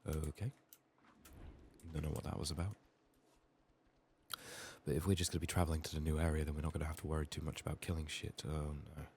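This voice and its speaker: A man with an extremely deep, creaky voice gives soft-spoken ASMR commentary on a game. He thinks aloud in a low-key murmur, sounding a little sick and strained, with faint noise and clicking behind him. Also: little pitch movement, a little fast, pauses often. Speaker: ASMR gaming YouTuber.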